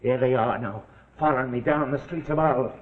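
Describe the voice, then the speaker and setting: An actor playing a boastful, rough male crook with working-class dialect, in 1940s television suspense drama style. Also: medium pitch, a little fast, natural voice. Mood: gleeful boasting. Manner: rustic working-class dialect, swaggering storyteller. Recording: muffled, noisy old 1949 TV soundtrack.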